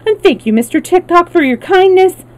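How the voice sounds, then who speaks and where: A high-pitched, pleading male creature from a storybook, acted out by a woman. He is eager and anxious, a harmless soul who once pretended to be fierce, and explains himself earnestly in a mellow tone. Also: expressive, moderate pace. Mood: eager, grateful.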